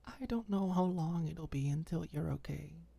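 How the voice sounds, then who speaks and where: A woman voicing a gentle, hesitant young woman in an ASMR audiobook, mumbling softly and reassuringly. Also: deep voice, creaky, slightly rough, voice pushed up, heavily acted. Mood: hesitant, worried. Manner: soft mumbling, halting. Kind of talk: audiobook character dialogue, ASMR.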